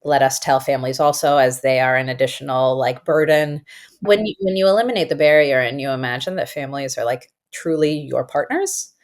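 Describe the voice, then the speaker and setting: A woman whose first language is Farsi speaks with a notably low, deep pitch. She talks as a guest in a podcast interview, thoughtful and emphatic, drawing out words to stress a point. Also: a little fast. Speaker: guest educator and author. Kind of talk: conversational interview.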